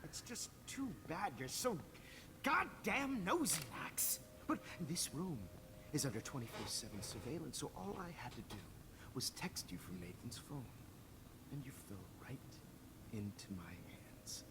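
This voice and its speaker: An actor playing a menacing, condescending middle-aged teacher turned kidnapper in a video game, creepy and given to flaring rage. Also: male voice, fairly high pitch, big pitch swings, measured pace. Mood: smug, sinister. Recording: noisy game capture.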